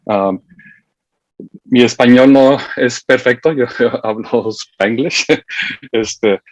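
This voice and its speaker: A middle-aged man from the United States giving a seminar talk as a university education researcher. He speaks haltingly, with many fillers, and admits he is nervous. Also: mid-range voice, no room sound. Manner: halting and hesitant, full of fillers, admits to nerves. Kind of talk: seminar presentation. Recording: dull lo-fi dry sound.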